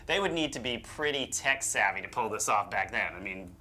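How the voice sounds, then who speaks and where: A man's voice: on the low side, expressive, brisk, pushed and bright. A man co-hosting a show, mixing scripted narration with informal, incredulous asides. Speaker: show host. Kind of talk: conversational co-hosted scripted narration with casual asides.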